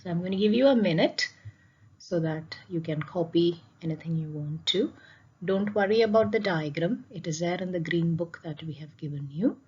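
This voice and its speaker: A woman's voice: fairly low pitch, normal speed, a little mellow, breathy edge, dull audio. A woman teacher explaining a lesson over slides, repeating key points and posing questions as she goes. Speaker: physics teacher.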